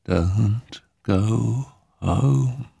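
Man voicing a slow, sinister spirit of doom. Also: sits low, gravelly, slightly rough, dry recording, studio quality, full character voice. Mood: slow menace. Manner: slow, drawn-out, menacing delivery. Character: sinister spirit voice with demoniacal chuckle, ominously warning.

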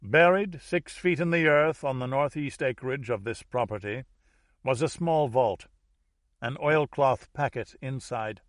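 A commanding, cultured Dutch heir acted out by a male audiobook reader, formal and self-assured. His middle-pitched voice moves deliberately through each phrase. The recording is very dry, with no room around it. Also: dry recording, close to an everyday voice.

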